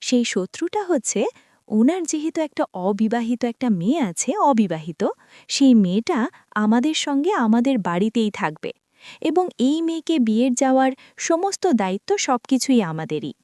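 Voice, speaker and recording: A woman's voice: on the high side, sing-song, normal speed, warm tone, no echo, studio quality. A woman narrating a first-person story in a confiding tone, talking to her listeners as friends.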